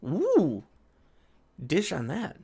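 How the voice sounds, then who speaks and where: Man, slightly high voice, casual reaction commentary, sarcastic, exasperated, sweary. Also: wildly swooping pitch, very slow, vocal fry, mellow, slightly rough. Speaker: video creator reacting to an article. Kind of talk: casual commentary alternating with reading an article aloud.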